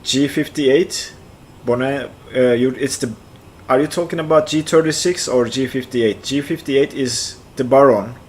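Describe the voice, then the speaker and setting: A man in his forties from central Turkey, with a Turkish accent, chatting casually on a flight sim stream, answering chat aloud and thinking out loud. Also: medium pitch, a little slow, a little hiss or hum. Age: 40s.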